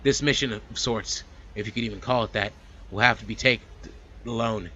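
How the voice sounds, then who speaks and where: A man's voice: fairly low pitch, fairly even intonation, brisk, sharp tone, dull audio, slight background noise. A man hosting a let's play, reading a visual novel aloud and adding casual commentary. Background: game music.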